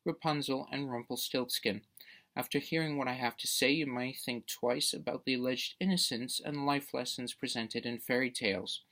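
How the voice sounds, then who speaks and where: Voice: medium pitch, fairly bright tone. A debate speaker delivering a prepared persuasive speech in a formal, emphatic, rhetorical manner.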